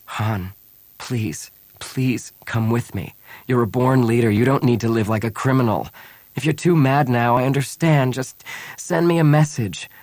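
A man's voice: medium pitch, lively intonation, moderate pace, dry recording, pitched above the performer's own voice, close to an everyday voice. A man voicing an earnest young rebel woman commander in an audiobook, speaking in an emotional, pleading way. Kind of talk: audiobook character acting.

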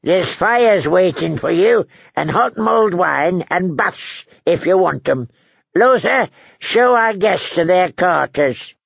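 A man's voice: high-pitched, lively intonation, slow, gravelly, fairly bright tone, husky, dry recording, lo-fi sound, voice pushed up, heavily acted. A man voicing a querulous, leering, wrinkled old lord in an audiobook, drawing out his words and breaking them up with querulous grunts. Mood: bossy, leering. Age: elderly. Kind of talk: audiobook character acting.

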